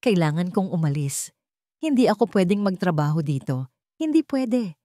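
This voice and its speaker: A woman's voice: fairly low pitch, sing-song, normal speed, mellow, no echo, clean studio sound. A woman voicing a proud, desperate young mother in a radio-style story, speaking with firm emphasis. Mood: tearful fear.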